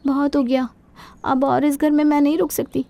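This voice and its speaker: A woman plays a young daughter-in-law in a cartoon moral tale. Her voice is very high, with a timid, hesitant manner and a hurt, aggrieved edge. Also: level tone, moderate pace, creaky, mellow. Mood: hurt, resolved. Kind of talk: Hindi cartoon moral story acting.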